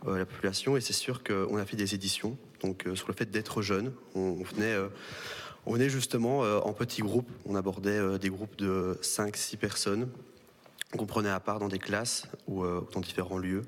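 A man's voice: on the low side, steady tone, normal speed, slight background noise. A young man speaking as a panel guest, hesitant and full of fillers. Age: young adult. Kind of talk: public panel talk. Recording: long hall reverb.

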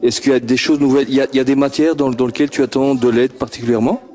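A man puts friendly questions to a group of children, speaking into a handheld microphone. He keeps stumbling over and repeating his words and breaks into chuckles. His voice sits at an ordinary middle pitch. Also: steady tone, very fast, a little mellow. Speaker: interviewer at a homework-help group. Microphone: handheld microphone passed around.

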